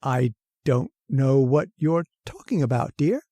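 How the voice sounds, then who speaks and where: A man's voice: medium pitch, expressive, a little slow, dark tone, no echo. A man playing a nervous, henpecked, long-lived husband of a witch in a radio horror drama, given to wistful storytelling and flustered stammering. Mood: nervous, evasive. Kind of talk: old-time radio horror narration and acting.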